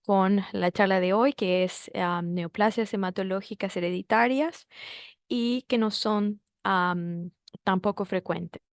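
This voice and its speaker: A woman from Bolivia, a physician giving a medical lecture, speaking deliberately with many "am" fillers. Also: mid-range voice, no echo, clean studio sound.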